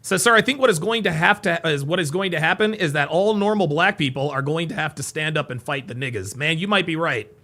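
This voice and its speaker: A man giving casual live reaction commentary as a host, mockingly repeating what others say in an animated way. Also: a little high, fast, few pauses.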